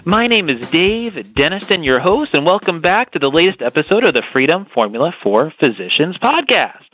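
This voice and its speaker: A man's voice: a little high, sing-song, normal speed, a bit bright, low-quality recording. A man hosting a podcast interview, enthusiastic and given to repeating words as he asks his questions. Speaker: podcast host.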